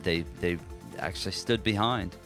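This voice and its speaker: An American man with a low voice chats as a podcast co-host. He speaks informally, musing his way through his points. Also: moderate pace, music in the background. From United States. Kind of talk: casual conversational podcast talk.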